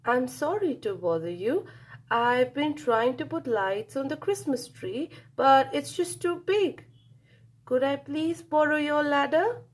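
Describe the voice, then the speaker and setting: A woman's voice: sits high, lively intonation, a little slow, voice pushed up. A woman voicing a timid, polite male mole in a children's storybook, hesitant and apologetic.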